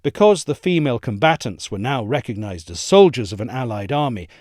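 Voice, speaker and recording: A man giving a measured, articulate scripted narration of a history essay. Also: medium pitch, very animated, darkish tone, no room sound, studio quality. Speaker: essay reader.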